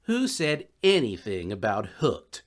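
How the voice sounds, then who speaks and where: A man's voice: lowish voice, huge pitch swings, a little slow, pitched below the performer's own voice. A man voicing a laid-back, dismissive young college druggie in a narrated story, drawling and offhand.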